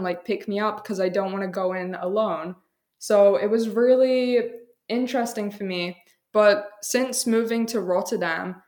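A young adult woman, a student guest, telling personal experiences conversationally in a candid, thoughtful way. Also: medium pitch. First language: English.

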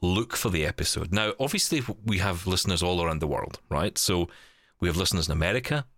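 A man with a very low voice that moves around a lot in pitch. He hosts a casual podcast, rambling and doubling back on words in a jokey, teasing way. The recording is close and dry. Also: lively intonation, fast talker, a bit bright, no room sound. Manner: rambling, repeats words to get going. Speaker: podcast host. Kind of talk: casual chat.

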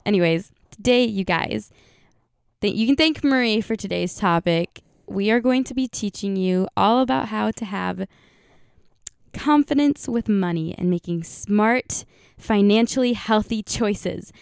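A young woman chats to her viewers in a scratchy, gravelly voice, her throat worn out from singing. She rambles with fillers and laughs, recorded close and dry. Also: mid-range voice, a little slow, no echo. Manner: giggly, rambling with many fillers. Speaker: vlogger. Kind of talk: casual chat to viewers.

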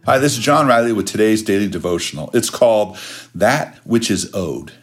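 A man who works as a pastor, reading a scripted devotional in measured, deliberate phrases with pauses. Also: fairly low pitch, expressive, soft background music. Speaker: junior high pastor giving a devotional.

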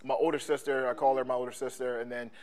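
A man co-hosting a podcast, speaking in a casual conversation, halting with many restarts and ums. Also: medium pitch, level tone, darkish tone. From Philadelphia. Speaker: podcast co-host; sports coach and trainer.

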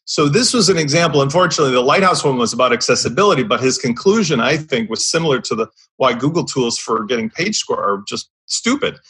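A man's voice: mid-range voice, expressive, quick pace, few pauses, sharp tone, breathy edge. A man on a podcast panel giving blunt, emphatic opinions in casual discussion, swearing freely.

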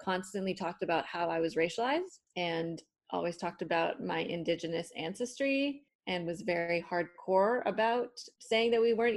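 Young Toronto woman, native Spanish speaker, casual candid storyteller who acts out quotes. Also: lowish voice. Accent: Toronto English. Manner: candid storytelling with swearing, acting out quoted people. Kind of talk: casual conversation.

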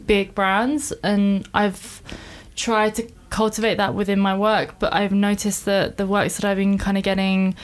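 A woman's voice: medium pitch, little pitch movement, a little slow, few pauses. A woman, a film director talking reflectively and informally in a casual interview, explaining her work at length. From born in Iran, grew up in England. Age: 30s.

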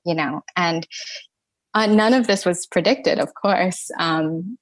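A young adult woman from Thailand, a podcast guest and energy healer, telling her story in a lively, conversational way with laughs between phrases. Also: lowish voice, slow, dry recording, low-quality recording.

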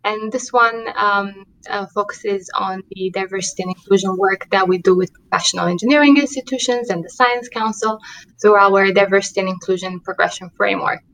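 A woman with a Romanian accent speaks in a podcast interview, earnest and steady. Her voice sits at a middle pitch. She pauses often with ums and restarts her sentences. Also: slight background noise, low-quality recording. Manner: earnest, many fillers and restarts. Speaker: diversity and inclusion manager, guest.

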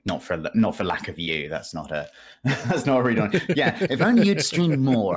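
Low, animated man joking on a podcast. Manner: jokey, dry mock-grandiose teasing. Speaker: podcast guest. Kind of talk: casual podcast banter about video games.

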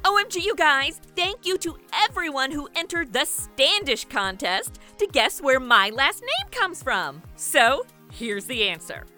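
A woman's voice: high voice, very animated, a little slow, few pauses, bright, forceful tone, soft background music, heavily acted. A woman playing a sarcastic, entitled, brash modern princess with slangy speech in a comic radio play. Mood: excited, chatty. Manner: snarky, slangy.

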